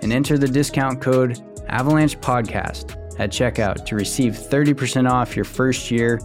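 A man reading scripted sponsor ads in an even, promotional delivery. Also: medium pitch, moderate pace. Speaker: sponsor ad reader. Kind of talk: scripted ad read. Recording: ad read over soft guitar music.

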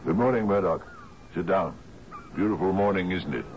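Anxious old island captain, halting n pleading, very deep, muffled hissy old radio drama. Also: male voice. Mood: genial, coaxing. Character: anxious, genial older island-dwelling captain, needy host.

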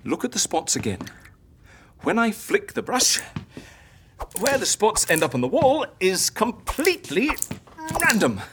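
A woman's voice: medium pitch, sing-song, measured pace, slight background noise. An actress playing a confident, theatrical woman who fakes deaths for clients, lecturing and coaxing in an audio drama. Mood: didactic, philosophical. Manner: didactic, encouraging, philosophical.

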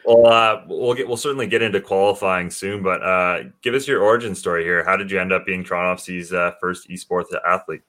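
A man's voice: deep voice, a little fast, hardly any pauses, fairly bright tone. A man hosting a casual sports interview, introducing and questioning the guest. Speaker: show host, interviewer.

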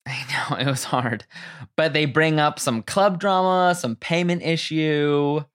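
A woman co-hosting a podcast in casual chat, slightly tipsy from drinking, thinking aloud in quick bursts with restarts. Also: low-pitched, clean tone. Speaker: podcast co-host.

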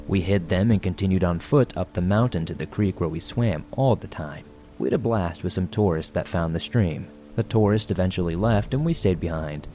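Man, scripted horror narration, very low pitch, dark mellow tone, soft music and rain underneath. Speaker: horror story narrator, show host.

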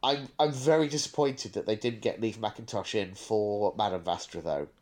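A man's voice: lowish voice, normal speed, a little mellow. A man co-hosting a casual podcast discussion, giving opinions with frequent stammering restarts. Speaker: podcast co-host.

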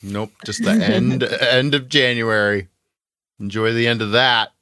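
A man's voice: medium pitch, measured pace, sharp tone, no room sound, studio quality. A man co-hosting a podcast with playful, wisecracking banter. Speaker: podcast co-host. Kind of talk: casual comic podcast banter.

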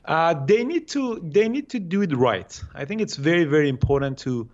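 A man in his thirties from Iran with an Iranian accent, answering interview questions haltingly with many fillers and restarts. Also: fairly high pitch, expressive, a little mellow, lo-fi sound. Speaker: guest day trader.

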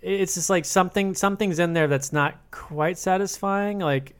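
A man's voice: high voice, normal speed, smooth. A man co-hosting a podcast, reasoning aloud about film in casual, conversational speech with repeated words. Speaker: podcast co-host.

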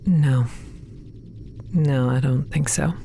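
A woman's voice: a bass voice, fairly even intonation, slow, gravelly, warm tone, a little hiss or hum, full character voice. A woman playing a withdrawn, weary scientist on an undersea base, dry, terse and guarded, in a naturalistic sci-fi audio drama.

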